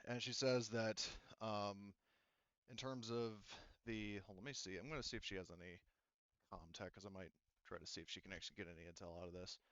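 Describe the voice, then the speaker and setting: A man's voice: fairly low pitch, fairly even intonation, fairly quick, fairly bright tone, dry recording, dull audio. A man acting as game master, explaining rulings and story in a rambling way with lots of fillers. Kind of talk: narrating a tabletop game.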